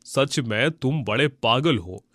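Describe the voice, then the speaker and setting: A man playing a cynical, tight-fisted village healer in an animated folk tale, scolding and dismissive in manner. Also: medium pitch, slow, dry recording, studio quality.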